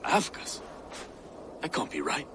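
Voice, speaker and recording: An actor playing a grave, wary, steadfast soldier in a video game, with earnest, deliberate phrasing. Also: male voice, background noise, close to an everyday voice. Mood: troubled, grave. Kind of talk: dramatic video game acting.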